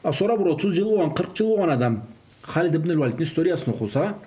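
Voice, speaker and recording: A man's voice: on the high side, animated, racing, dark tone. A man who is a native Karachay-Balkar speaker talks in an informal discussion, mixing in Russian words and phrases. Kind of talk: informal religious discussion on raising children.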